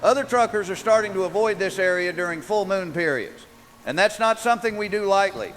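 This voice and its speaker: An actor playing an earnest, insistent American truck driver calling in to a radio show in a scripted drama. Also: male voice, high-pitched, a little fast, a bit bright. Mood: earnest, uneasy. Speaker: radio caller. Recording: long reverb, faint noise.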